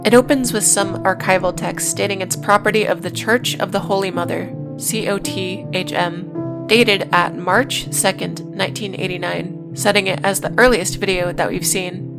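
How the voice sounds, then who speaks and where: A woman's voice: mid-range voice, fairly even intonation, moderate pace, creaky, music in the background. A woman hosting a video essay, reading scripted narration with casual, sardonic asides.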